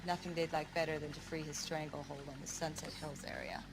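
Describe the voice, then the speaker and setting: An actress playing a terse, serious police handler giving mission briefings in a video game, with loud music behind her. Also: low voice, flat delivery, a little fast, creaky. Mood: cool, dry. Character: no-nonsense female police handler briefing an undercover driver.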